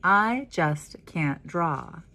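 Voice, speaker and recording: A woman's voice: sits low, lively intonation, very slow, sharp tone, full character voice. A woman voicing a stubborn, self-doubting young schoolgirl in a picture-book reading, blunt and emphatic. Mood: grumpy.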